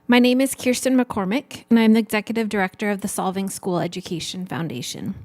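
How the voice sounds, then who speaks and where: A woman's voice: mid-range voice, brisk, darkish tone, dry recording. A woman from California, head of a school foundation, earnestly reading a funding request to a city council. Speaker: education foundation director. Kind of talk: prepared public statement.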